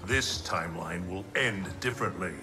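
A man's voice: low-pitched, moderate pace, over music, lightly acted. A man playing a grave thunder god in a video game, speaking formally and resolutely. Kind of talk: cinematic video game acting.

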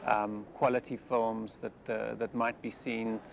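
Gravelly South African man, measured and hesitant. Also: fairly low pitch, level tone, a little hiss or hum. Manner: measured, thoughtful, with many hesitations. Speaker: film director. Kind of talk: interview monologue. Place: outdoors on steps of a busy city square.

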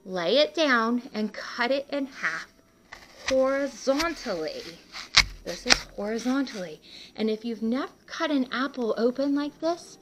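A woman reading a picture book aloud to young children, pausing to explain things simply and speaking to them directly as a teacher would. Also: a little high, animated, noisy background. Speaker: children's read-aloud host and art teacher.

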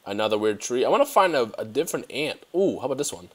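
A young man who grew up in Germany gives loose, excitable commentary over his own gameplay. He rambles and talks to himself as he plays. He speaks into a headset mic in a room at home. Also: mid-range voice, quick pace, breathy edge. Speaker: gaming youtuber. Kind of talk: casual let's play commentary.

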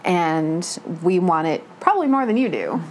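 A woman in a casual book discussion, telling things in an animated way and quoting her own reactions. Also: lowish voice, normal speed. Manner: animated storytelling with self-quoted reactions. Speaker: book club panelist. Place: living room, seated on a couch. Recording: faint room noise.

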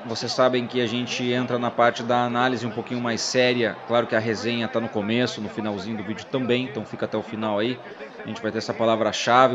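A man hosting and commentating casually over old fight footage, joking with his co-host. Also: medium pitch. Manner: chatty, jokes with his co-host. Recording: dull sound with loud crowd behind. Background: crowd noise from fight footage.

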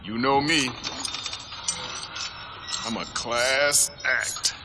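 A man playing a gruff, hot-tempered army major, blunt and streetwise, in an action video game. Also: mid-range voice, drawn-out words, in a large hall, noisy background. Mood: cocky.

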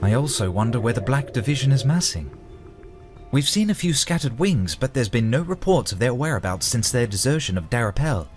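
Man acting an earnest mid-pitched dragon knight. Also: very animated, fairly quick, faint music behind, lightly acted. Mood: pensive. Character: thoughtful, earnest male dragon-riding knight. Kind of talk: fantasy audio drama acting.

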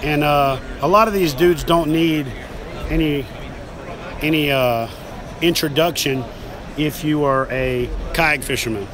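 A man's voice: on the high side, a little slow, a crowd in the background. A man, hosting a casual, joking walkthrough at a busy indoor expo hall, filmed on a handheld camera. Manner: folksy, joking, energetic. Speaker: host. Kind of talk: casual trade-show walkthrough. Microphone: handheld camera at arm's length.

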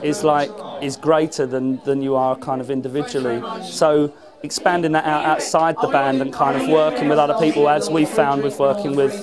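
A man's voice: fairly high pitch, level tone, moderate pace, hardly any pauses, a crowd in the background. A man, a band musician, giving casual interview answers in an informal, rambling way. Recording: clear music playing behind. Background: music at a live event.

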